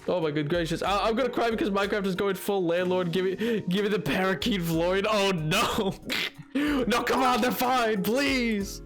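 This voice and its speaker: A man's voice: sits high, moderate pace, a bit bright, faint music behind. A young man streaming a game, chatting casually with his chat, rambling and restarting his sentences as he reads messages. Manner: rambling, restarts and laughs while reading chat.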